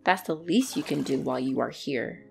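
A woman's voice: low-pitched, moderate pace, creaky, a little mellow, a little hiss or hum. A woman playing a bratty, easily flustered young tsundere catgirl in an ASMR roleplay, speaking indignantly and defensively to the listener. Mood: grudgingly content.

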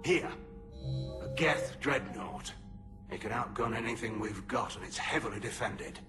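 Hawkish alien admiral, forceful and urgent, game music loud behind. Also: male voice, lowish voice, lively intonation, measured pace.

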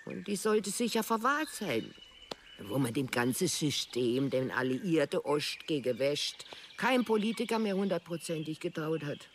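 An actress playing an agitated, evasive old lady in a radio drama, defensive and halting in her way of talking. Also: low voice, sing-song, full character voice. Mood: defensive, reluctant. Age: older. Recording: faint outdoor nature noise.

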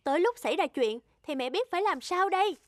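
A woman voicing a worried, stern mother donkey in an animated fairy tale, scolding and insistent. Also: very high-pitched, fast, no room sound.

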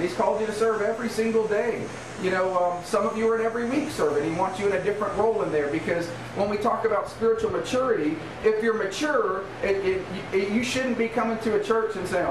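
A middle-aged American man preaching an informal sermon as a pastor, emphatic and conversational, checking in with rhetorical questions. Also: high-pitched. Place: small church room in a converted bar, indoors. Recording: roomy, distant mic, noisy background.

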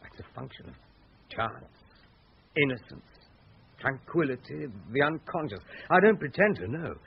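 A man's voice: a little high, big pitch swings, measured pace, gravelly, full character voice. An actor playing an obsessive, earnest male researcher in a radio drama. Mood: earnest, insistent. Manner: earnest, insistent. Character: obsessive, insistent male researcher and writer, Walter. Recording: dull lo-fi old radio recording, faint noise.

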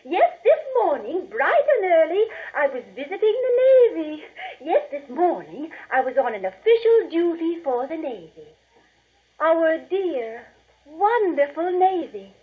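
A high-voiced, gushing chanteuse coos at her audience with syrupy, theatrical sweetness. She draws out her words slowly. The sound is a muffled old 1940s radio drama. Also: very animated, exaggerated voice.

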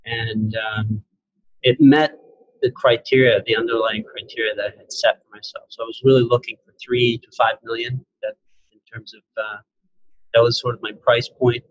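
A man in his forties talks through his story on a video call, with a husky, slightly breathy voice. He speaks in an even, fairly flat way, rambling easily and filling pauses with "uh". The sound is dull and lo-fi, the way a laptop mic records it. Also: mid-range voice, dry recording. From Syria, raised in New York and New Jersey. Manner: relaxed, rambling, many "uh" fillers and restarts. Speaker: guest, real estate investor. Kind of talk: casual interview on a video call. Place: indoor room, on a video call.

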